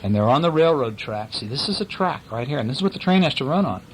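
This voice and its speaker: A man's voice: medium pitch, expressive, fast talker, low-quality recording. A father reads a picture book to his small children in a casual, playful voice. His intonation rises and falls freely as he stops to question them and imitate noises. The home recording carries a faint layer of noise. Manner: playful, acts out sound effects and asks questions. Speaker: father reading a bedtime story to his children. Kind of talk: casual story reading to young children with asides and questions.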